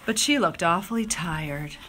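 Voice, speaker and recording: A woman reading a children's picture book aloud with expressive, drawn-out dramatic phrasing. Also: lowish voice, background noise. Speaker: storybook reader.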